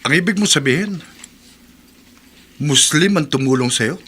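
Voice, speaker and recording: An actor playing a soldier son who speaks calmly and earnestly. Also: male voice, fairly high pitch, a little slow, husky, heavily acted. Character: soldier son, serious and worried. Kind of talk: radio drama acting.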